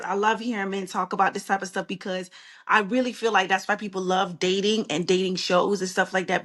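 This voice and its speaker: A woman's voice: medium pitch, a bit bright. A woman co-hosting a podcast, upbeat and talkative, recorded remotely. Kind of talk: casual podcast chat.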